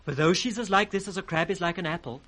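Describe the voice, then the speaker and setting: An actor playing an impish, riddling British court jester in a 1960s radio Shakespeare drama. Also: male voice, sits high, fast, bright, forceful tone. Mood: teasing. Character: impish, riddling court jester, male, teasing his master.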